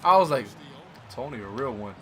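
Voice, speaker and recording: A young man in casual gaming chat, slangy and excitable, swearing freely. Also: medium pitch, moderate pace. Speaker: gamer reviewing a film with a friend. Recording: noisy, clicks and game sounds. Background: basketball game sounds, clicks.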